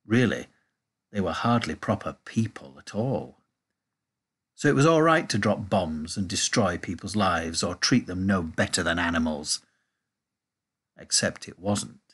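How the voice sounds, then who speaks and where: A man reading a children's storybook aloud in a measured, expressive way. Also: sits low, husky. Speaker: audiobook reader. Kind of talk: storybook narration.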